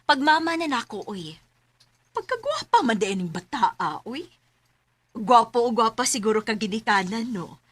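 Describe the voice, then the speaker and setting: An actress playing a chatty, fond, middle-aged mother in a Filipino radio drama, with a teasing, expressive manner. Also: on the high side, normal speed, dry recording.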